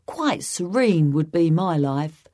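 A woman's voice: deep voice, lively intonation, slow, dark tone, exaggerated voice. A woman voicing a lonely, small nonsense creature from a storybook poem, earnest and pleading.

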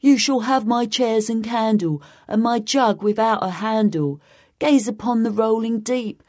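A lonely little storybook creature with an oversized head, voiced by a woman reader pleads earnestly in a warm, mellow tone. The delivery is a touch raised above plain narration. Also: a little high, unhurried, pitched above the performer's own voice. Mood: pleading.

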